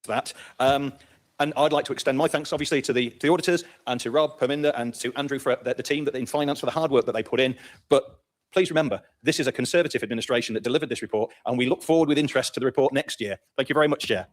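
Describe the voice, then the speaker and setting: A man makes a formal council speech at a very rapid clip. His voice sits at an average pitch, and his courtesy carries a pointed edge. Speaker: opposition councillor. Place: council chamber.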